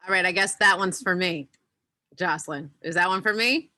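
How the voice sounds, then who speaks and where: A woman's voice: fairly low pitch, normal speed, pushed and bright, no room sound, clean studio sound. A woman speaking on a panel as a former teacher and soon-to-be doctor, heartfelt and emphatic. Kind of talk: unscripted panel answer.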